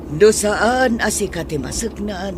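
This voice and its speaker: An actress playing a soft-hearted, worried middle-aged mother in an audio drama, with a Filipino accent. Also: medium pitch, animated, measured pace, noisy background, close to an everyday voice. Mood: worried, pleading. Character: soft-hearted, worried mother defending her grown daughter.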